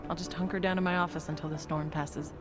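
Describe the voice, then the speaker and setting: Wry, low-voiced woman colleague, acted. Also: little pitch movement, lightly acted. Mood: composed, wry. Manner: wry, measured. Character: wry, composed woman colleague, softly teasing. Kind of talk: video game voice acting. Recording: music behind the voice.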